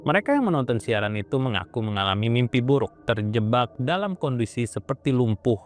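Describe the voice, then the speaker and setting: A man's voice: mid-range voice, very animated, a little fast, faint music behind. A man narrating an explainer as a YouTube host, informal and slangy in his wording. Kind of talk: informal explainer narration about internet horror.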